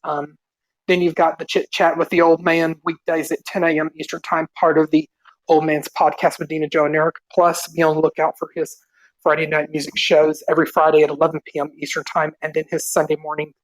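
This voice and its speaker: A man co-hosting a podcast, politely reading out show plugs in an informal, halting way. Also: on the high side, no room sound, rough recording. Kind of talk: informal announcement of show schedules.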